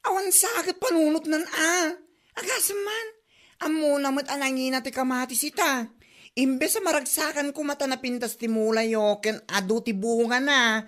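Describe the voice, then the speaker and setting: A woman's voice: high voice, moderate pace, a bit bright. A woman with an Ilocano accent playing a complaining neighbor in a comedy drama.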